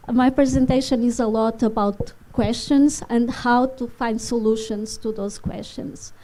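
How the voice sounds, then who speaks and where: Slow, hesitant woman from Portugal. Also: fairly high pitch, dark tone, a little hiss or hum, low-quality recording. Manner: hesitant, many fillers, non-native phrasing. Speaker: animation filmmaker giving a masterclass. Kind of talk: presentation to a live audience. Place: festival hall.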